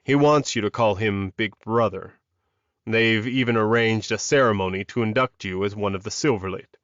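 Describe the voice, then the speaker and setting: A man voicing a calm, protective male werewolf alpha in an audiobook, measured and reassuring. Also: fairly low pitch, no echo, lo-fi sound, natural voice. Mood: gently persuasive.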